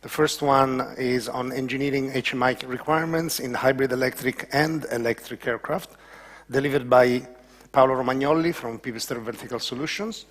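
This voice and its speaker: Male moderator, formal introductions, medium pitch, echoey hall reverb. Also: steady tone, moderate pace. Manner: formal, with occasional fillers. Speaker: workshop moderator.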